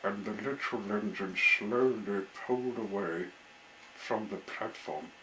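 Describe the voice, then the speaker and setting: A man's voice: lowish voice, slow, bright, forceful tone, slight background noise, low-quality recording. A man reading a children's storybook aloud as the storyteller.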